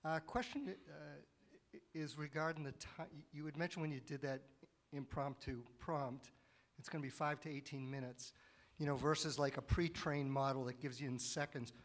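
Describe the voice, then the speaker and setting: A man's voice: fairly high pitch, steady tone, normal speed. A man in the audience politely congratulating the speaker and asking a technical question.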